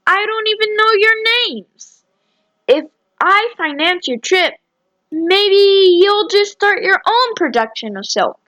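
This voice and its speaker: A woman acts as an anxious, suspicious emperor in an audio play. The voice is very high, and the lines come out slowly and declaimed. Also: animated, fairly bright tone, no echo, highs cut off, heavily acted. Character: Emperor Justinian, male ruler, anxious and suspicious. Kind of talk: amateur audio play acting.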